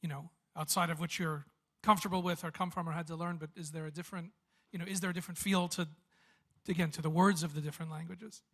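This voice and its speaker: Higher-voiced male interviewer, rambling questions. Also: fairly even intonation, fast, a bit bright.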